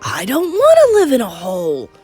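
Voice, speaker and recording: A loudmouthed little boy turned mouse, played by a woman reading a storybook, greedy and easily offended. The voice blusters petulantly and swoops up and down, with music behind it. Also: sits high, huge pitch swings, normal speed, slightly rough, voice pushed up, full character voice. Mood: petulant, alarmed.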